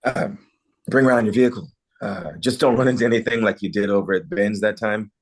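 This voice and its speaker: A man's voice: on the low side, a little fast. A man playing an awkward, wry small-town farmer with a hesitant delivery in a table read. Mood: dry teasing.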